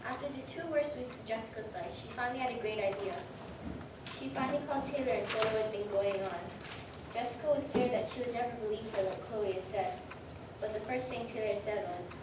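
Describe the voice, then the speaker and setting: A woman's voice: a little high, quick pace. A woman narrating a scripted story for a reader's theater performance. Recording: distant mic, very echoey room, noisy.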